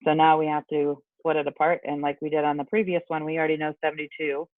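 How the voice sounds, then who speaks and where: A man's voice: fairly high pitch, level tone, fast talker, no echo. A man teaching a casual online math lesson, chatty with rambling asides, his voice scratchy from allergies. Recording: dry, tinny phone-like sound.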